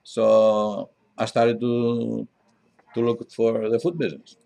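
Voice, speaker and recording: A middle-aged man from Greece with a Greek accent answers interview questions conversationally, in halting non-native English with frequent pauses. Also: mid-range voice, monotone, creaky, dark tone. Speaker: interview guest, diner owner.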